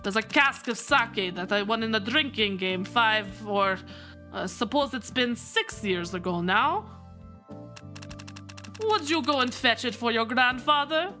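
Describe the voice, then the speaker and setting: A woman voicing a gruff, bossy old male mob chairman and grandfather, with a put-on Russian accent. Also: a little high, lively intonation, measured pace, bright, forceful tone, faint music behind.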